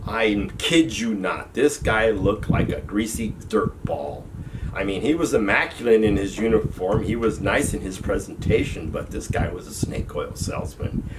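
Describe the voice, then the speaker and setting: A man's voice: on the low side, expressive, normal speed. A man in his fifties with a cold, telling army stories in a rambling, conversational way, his voice nasal and stuffy. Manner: rambling, conversational, self-correcting. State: recovering from a cold. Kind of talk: casual storytelling to webcam. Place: small room at home, indoors. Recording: distant mic with background hiss.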